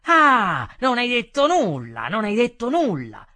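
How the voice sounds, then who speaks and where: A man's voice: extremely high pitch, wildly swooping pitch, unhurried, dry recording, studio quality, pitched above the performer's own voice, heavily acted. Man acting a blustering, outraged fat customer. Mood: furious, mocking. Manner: indignant, shouting insults.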